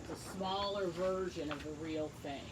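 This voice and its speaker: A woman teacher reading a book aloud to young children, encouraging them and pausing to ask questions and explain things simply. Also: lowish voice, noisy background. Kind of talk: read-aloud lesson with asides and questions to children.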